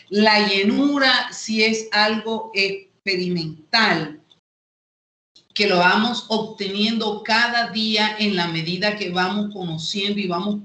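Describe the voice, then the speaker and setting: A woman with a Colombian Caribbean coast accent teaching an online Bible lesson, explaining haltingly and repeating phrases as she goes. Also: medium pitch, fairly bright tone. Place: indoor room, online class. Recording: echoey room, online call audio.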